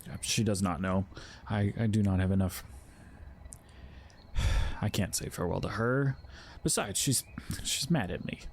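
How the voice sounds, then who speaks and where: An actor playing a solemn, anxious guardian spirit of fear, speaking formally and haltingly in an audio drama. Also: male voice, on the low side, a little hiss or hum, natural voice. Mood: mournful, apologetic. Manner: formal, halting, stilted wording. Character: Fear, solemn, anxious male emotion spirit, self-sacrificing protector.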